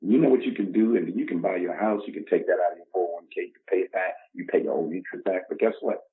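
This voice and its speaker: A man's voice: sits low, fast. A man, talking casually and motivationally as a podcast guest, emphatic and given to acting out dialogue. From the Bronx, New York, United States. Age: 50s. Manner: emphatic, acts out imagined dialogue. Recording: dull, muffled lo-fi recording.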